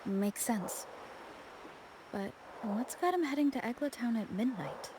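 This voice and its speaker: A woman's voice: fairly high pitch, animated, a little slow, noisy background. A woman voicing a composed, businesslike young adventurer captain in a video game. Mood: focused.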